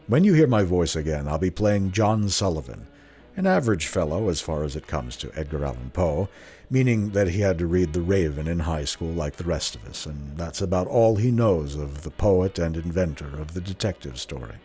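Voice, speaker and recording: Deep guy introducing a radio play, measured and theatrical, soft music underneath. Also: expressive. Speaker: host, producer and star of a radio drama series. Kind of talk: scripted dramatic narration. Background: faint music.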